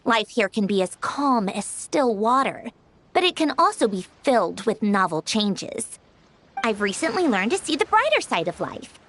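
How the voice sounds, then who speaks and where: A woman playing a gracious, composed young woman in a video game, speaking in a courteous, measured and sincere way. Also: on the high side, big pitch swings, a bit bright. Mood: reflective, content.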